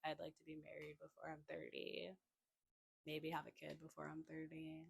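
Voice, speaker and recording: A woman's voice: low-pitched, monotone, moderate pace, vocal fry, breathy edge. A woman in her twenties co-hosting a podcast, blunt and casual, swearing now and then. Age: 20s. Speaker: podcast co-host. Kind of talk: casual chat.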